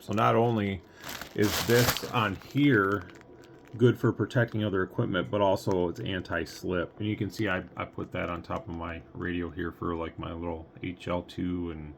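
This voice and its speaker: A man giving a casual hands-on product review, rambling a little as he thinks aloud, recorded on a phone. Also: sits low. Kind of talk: casual unboxing review, talking through thoughts. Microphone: phone, handheld. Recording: clear background noise, recorded on a phone.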